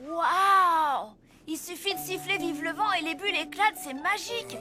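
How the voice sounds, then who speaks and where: A woman's voice: very high voice, moderate pace, over loud music. A woman voicing an eager, earnest young boy apprentice in a French cartoon, with a wide-eyed delivery. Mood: amazed. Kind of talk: French animated series dubbing.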